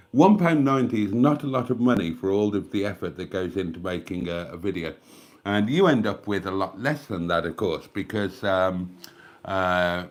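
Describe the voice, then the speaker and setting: An older British man rambles on a home livestream, self-mocking and fond of calling his audience darlings. His voice sits fairly low and sounds stuffed up with a cold, and he speaks into a desk microphone. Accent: British English. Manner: chatty and self-mocking, calls viewers darlings. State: congested with a cold, coughing. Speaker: YouTube livestream host. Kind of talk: casual rambling livestream chat. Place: at home, near the fridge.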